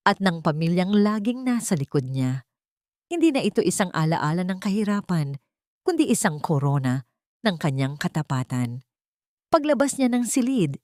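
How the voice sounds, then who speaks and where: A woman's voice: medium pitch, dark tone, no room sound, studio quality. A woman narrating a dramatic story from a script.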